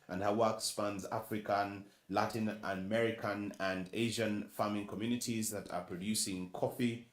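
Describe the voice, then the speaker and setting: A man from Uganda with a Luganda-influenced accent, moderating a press conference and formally introducing panelists with a measured delivery and some fillers. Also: fairly low pitch, roomy sound. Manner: measured, reads bios with fillers.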